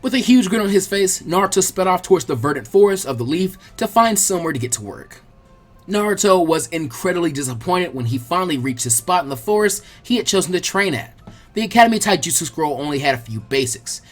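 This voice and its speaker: A man reading a story aloud as an expressive, colloquial audiobook narrator, with a husky voice. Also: sits high, fairly quick, faint music behind. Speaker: fan fiction audiobook narrator.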